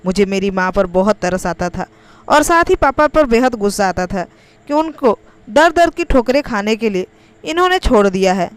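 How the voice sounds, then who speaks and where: A woman's voice: medium pitch, clean tone. A woman reading a scripted, first-person emotional story as its narrator.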